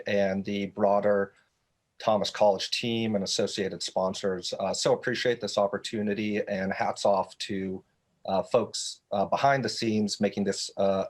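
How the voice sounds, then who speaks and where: A man speaking as a guest panelist, giving formal remarks in a measured, corporate way. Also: fairly low pitch. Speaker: guest speaker, economic development director.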